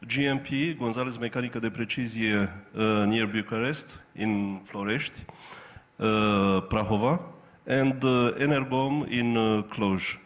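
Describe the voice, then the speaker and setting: A man presenting his company as a conference panel guest in careful, halting non-fluent English. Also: medium pitch, fairly even intonation. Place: business conference.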